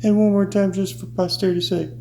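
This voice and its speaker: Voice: medium pitch, fast talker, gravelly. A science teacher doing a playful hands-on demonstration for children, exclaiming with delight as the experiment works. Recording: echoey room with steady hum.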